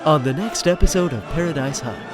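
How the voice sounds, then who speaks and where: A man's voice: on the high side, expressive, fairly quick, music in the background. A man giving wry, dramatic scripted narration with storytelling asides to the listener.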